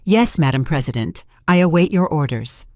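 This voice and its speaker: A woman's voice: sits low, animated, normal speed, darkish tone, no room sound, studio quality. A woman voicing a loyal male aide, formal, deferential and businesslike, in a story. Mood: deferential, efficient. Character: loyal, efficient male aide reporting to his boss.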